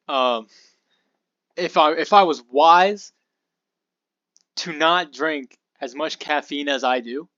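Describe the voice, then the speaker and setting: Young male podcast host, a touch hoarse, loose and chatty, mid pitch, dry muffled home recording. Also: no room sound. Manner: relaxed and rambling, with fillers and asides. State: slightly hoarse. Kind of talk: casual Bible study talk.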